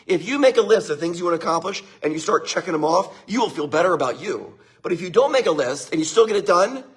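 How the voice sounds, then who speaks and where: Upbeat middle-aged male motivational speaker, husky and fairly high for a man, live-stream feel. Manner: upbeat, greets viewers by name, laughs often.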